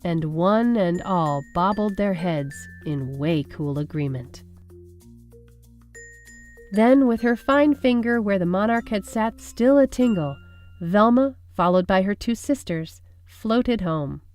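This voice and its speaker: Lady doing an expressive kids storybook read along, medium pitch, bit of music under it. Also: slow pace. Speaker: storybook reader. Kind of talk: expressive read-aloud of children's picture books. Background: background music.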